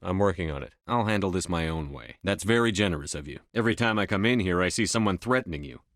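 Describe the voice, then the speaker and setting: A man playing a tough, resolute military space commander in a video game, speaking in a terse, commanding, measured way. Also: low voice, lively intonation, fairly bright tone, dry recording, clean studio sound. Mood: businesslike, calm. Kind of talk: scripted video game dialogue.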